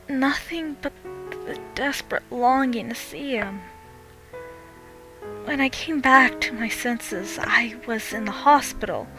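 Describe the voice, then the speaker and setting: A woman's voice: a little high, rough recording. Young woman narrating horror over music. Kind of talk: dramatic first-person scripted narration.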